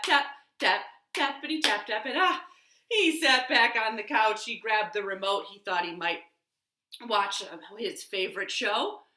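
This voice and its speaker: Animated lady storyteller for little kids, bright voice, echoey room. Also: on the high side. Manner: animated, expressive, dramatic pauses. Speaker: library storyteller. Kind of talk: interactive children's storytelling. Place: living room. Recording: roomy, echoey home recording.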